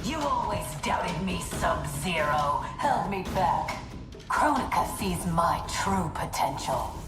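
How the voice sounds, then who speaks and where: A woman's voice: on the low side, very animated, slow pace. An actress playing a bitter, ambitious young female ninja who betrayed her clan, in a video game cutscene, talking resentfully and defiantly. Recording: roomy echo, loud game music.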